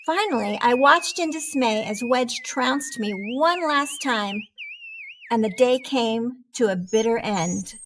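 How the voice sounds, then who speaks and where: Casting: female reader as a proud talking cheese narrating a storybook, high-pitched, theatrical, unhurried. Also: noisy background. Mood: baffled, dismayed. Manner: theatrical, expressive, deliberate delivery. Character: proud, dramatic cheddar wheel learning humility, storybook narrator. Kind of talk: animated children's storybook read-aloud.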